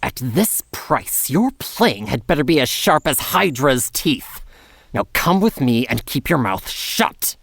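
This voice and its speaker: A fussy household steward in a storybook drama. He is haughty and easily outraged, sputtering as his pitch leaps around. The sound is close and dry. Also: big pitch swings, slow, creaky, fairly bright tone, dry recording, heavily acted. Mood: grudging, fuming. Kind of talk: full-cast audiobook acting.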